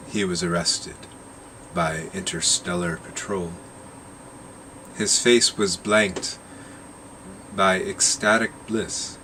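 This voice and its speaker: Male story narrator, low register, unhurried deliberate read. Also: background noise. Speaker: narrator reading his own short story. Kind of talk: short story read aloud.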